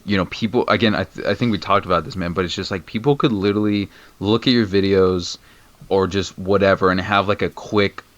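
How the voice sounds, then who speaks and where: A young married man with a very deep voice, chatting in a laid-back, slangy way full of "man" and "bro". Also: fairly even intonation, fairly quick, no echo, lo-fi sound. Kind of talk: casual podcast chat.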